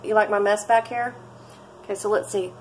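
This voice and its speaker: A woman's voice: mid-range voice, fairly even intonation, brisk, a little hiss or hum, rough recording. Chatty woman in her forties. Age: 40s. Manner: chatty, rambling, laughs often. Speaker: vlogger. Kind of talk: casual cooking vlog chat. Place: home kitchen, indoors. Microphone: fixed camera at close range.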